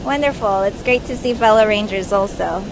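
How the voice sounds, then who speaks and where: A woman's voice: medium pitch, normal speed. A woman from Hawaii working as a park ranger, chatting upbeat and casually on a live stream from an outdoor viewing platform, with frequent uh fillers. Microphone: phone live-stream. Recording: outdoor noise behind the voice.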